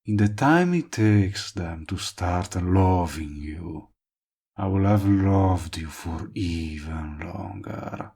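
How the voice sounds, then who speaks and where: A man acts out a lovestruck villain in a romantic audio roleplay. His voice is very low and full of gravelly fry, and he takes his time with every line. He sounds smug and teasing, yet tender, as he courts the listener up close. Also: animated, dark tone, heavily acted. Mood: wistful, awkward. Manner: slow, murmuring, teasing. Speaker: voice actor.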